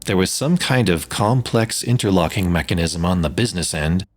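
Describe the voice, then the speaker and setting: A man playing a spaceship pilot who tells his own adventure in a measured, dry storytelling manner for a sci-fi audio drama. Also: low-pitched, expressive. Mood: measured, curious. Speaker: narrator and lead actor. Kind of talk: first-person sci-fi audio story narration.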